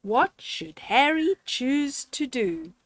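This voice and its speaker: Slow, animated woman reading to children. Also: sits high. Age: older. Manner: expressive, with deliberate pauses and dramatic gasps; gently instructive, addressing a child listener. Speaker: storyteller reading children's books aloud. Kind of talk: children's read-aloud storytelling.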